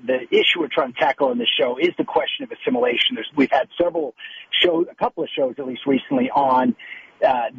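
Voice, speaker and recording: A male talk show host with a high, very lively voice. He sets up long questions, backing up and restarting as he goes. The voice is raspy and gravelly, heard over a thin phone line. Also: dry recording. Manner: restarting sentences while framing questions. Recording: tinny phone-line sound.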